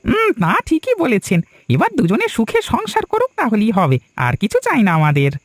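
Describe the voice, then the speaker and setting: Cartoon servile father of the groom, female actor: high pitch, extremely animated intonation, fawning. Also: fairly quick, no room sound. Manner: obsequious, flattering. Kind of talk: Bengali cartoon story acting.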